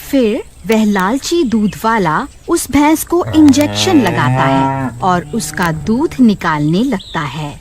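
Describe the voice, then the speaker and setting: A woman's voice: medium pitch, big pitch swings, slow, few pauses, crowd noise. A woman narrating a moral story from a script in a dramatic storytelling style. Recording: loud cattle and cricket sounds behind.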